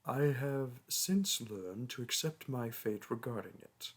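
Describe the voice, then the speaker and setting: A haughty young dragon who teaches human students, acted out by a man for a roleplay audio. He has a medium-pitched male voice and speaks in lofty, archaic language. His manner is pompous and disdainful, treating the listener as a lesser creature. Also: lively intonation, slow, husky, full character voice.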